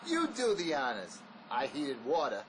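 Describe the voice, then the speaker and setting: Bossy desperate fugitive played by a man, animated pitch. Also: unhurried, noisy background. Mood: bossy, dry.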